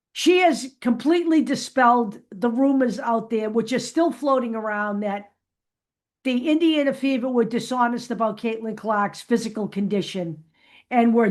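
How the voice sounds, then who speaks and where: High-voiced woman giving casual fan commentary. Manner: emphatic, often tags points with "okay?". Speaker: host of a fan vlog.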